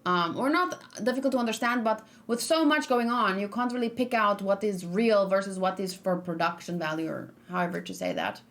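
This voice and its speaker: A woman's voice: mid-range voice, lively intonation, brisk, few pauses, a bit bright. A young woman chatting casually on a live stream, thinking aloud and reading out chat messages as she reacts to game trailers.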